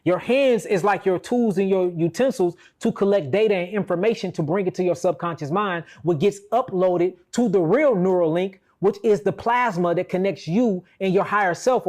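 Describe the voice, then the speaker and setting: High-pitched American man explaining spiritual ideas. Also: normal speed, a little mellow. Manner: emphatic, explanatory, checks listener with tag questions. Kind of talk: impassioned spiritual talk, conversational.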